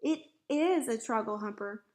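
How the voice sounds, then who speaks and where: A woman voicing a big, excitable but kindly male giant in a storybook, dramatic and exclamatory, with quaint mangled grammar. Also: a little high, a little slow. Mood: gloating indignation.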